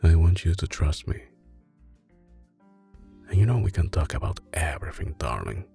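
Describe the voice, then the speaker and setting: A man playing a soft-spoken mafia boss boyfriend in an ASMR roleplay, menacing yet doting, with an Italian accent and a deep, creaky, intimate delivery. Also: animated, full character voice. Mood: gentle, reassuring. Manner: slow, deliberate, controlled, intimate. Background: music.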